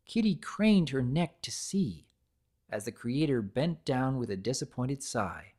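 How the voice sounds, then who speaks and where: A storyteller delivers a scripted dramatic reading with deliberate expression. The pitch moves widely and freely, giving the narration a very animated feel.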